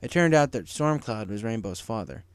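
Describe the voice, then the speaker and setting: A man's voice: fairly low pitch, expressive, moderate pace, smooth, no room sound. A man narrating a scripted story as an audiobook reader, in a dramatic storytelling manner. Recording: music behind the voice.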